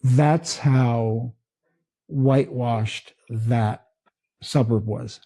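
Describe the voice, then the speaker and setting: A man from the Philippines, his first language Tagalog, co-hosting a podcast in casual chat, talking slowly and halting with many restarts. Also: mid-range voice, dark tone, no echo. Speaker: podcast co-host.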